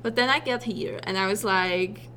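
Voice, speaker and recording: A woman's voice: medium pitch, fairly bright tone, slight background noise. Chatty teenage girl. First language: Polish and German. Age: teens. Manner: chatty, many fillers, fast in bursts. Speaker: exchange student. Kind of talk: casual interview.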